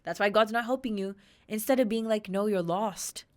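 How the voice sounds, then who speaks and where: Woman, thirties, from Australia, guest on a talk podcast. Earnest, animated storyteller with an average pitch. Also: normal speed. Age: 30s. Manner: earnest, emphatic, animated retellings with laughs. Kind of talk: candid personal storytelling.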